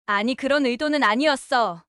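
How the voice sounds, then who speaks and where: A woman acting as a defensive wife in a family audio drama. Her voice is quite high, she offers evasive excuses, and the sound is very dry. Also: moderate pace, a bit bright, smooth, no room sound. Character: defensive daughter-in-law making excuses to her husband. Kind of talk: dramatized family story dialogue.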